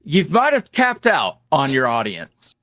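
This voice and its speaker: A man talking candidly as an interview guest about his work as an audio creator, with quick self-deprecating asides. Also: a little high, wildly swooping pitch, a bit bright, dry recording. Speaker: guest, ASMR roleplay creator. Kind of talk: casual interview chat.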